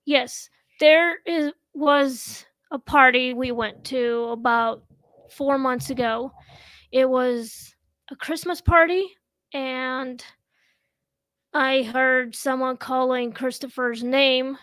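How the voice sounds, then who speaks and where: A woman in her thirties from Russia, hosting a casual home chat show and talking in a slow, halting way with many pauses. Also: high-pitched, steady tone, a bit bright, clear, resonant tone, no room sound. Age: 30s. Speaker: host.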